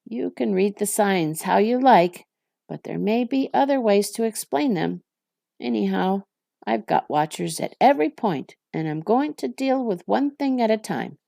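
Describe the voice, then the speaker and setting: A woman's voice: mid-range voice, animated, measured pace, gravelly, mellow, dry recording, studio quality. A woman voicing an irritable, growling male orc captain from a fantasy storybook, blustering and snarling. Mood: gruff, impatient.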